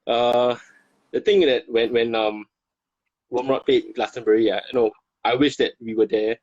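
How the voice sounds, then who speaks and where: A man from Singapore with a Singaporean English accent, chatting as a guest, halting and repeating words. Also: medium pitch, fairly even intonation, a little mellow, dry recording, lo-fi sound. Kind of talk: casual chat.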